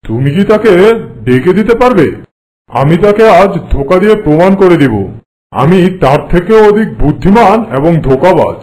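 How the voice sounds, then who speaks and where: Guy doing a pompous bragging king for a folk tale cartoon, bossy, kinda high voice. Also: big pitch swings, moderate pace, a little mellow, poor audio. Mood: boastful. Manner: pompous, commanding. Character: boastful, haughty king who prides himself on trickery. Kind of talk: animated folk-tale voice acting.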